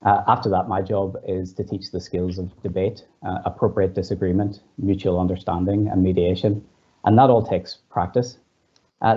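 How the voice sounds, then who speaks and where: A male teacher speaks earnestly on a panel, often starting his sentences with "uh". His voice is very deep. The sound is muffled and lo-fi. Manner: earnest, with frequent "uh" fillers. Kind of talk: panel contribution.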